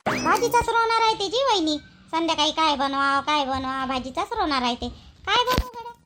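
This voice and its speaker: Woman doing a chirpy, very high-pitched girlish sister-in-law. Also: expressive, fairly quick, noisy background. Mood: insistent, helpful. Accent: Vidarbha Marathi. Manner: insistent, chatty. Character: chirpy, pushy, helpful young sister-in-law in a village family. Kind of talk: village cartoon dialogue.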